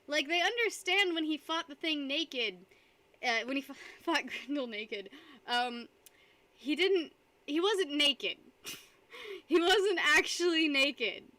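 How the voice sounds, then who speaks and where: A woman's voice: high voice, lively intonation, slow pace, sharp tone, no echo. A young woman streaming live, chatting casually with her viewers and rambling between frequent giggles. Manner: giggly, rambling, reads chat aloud.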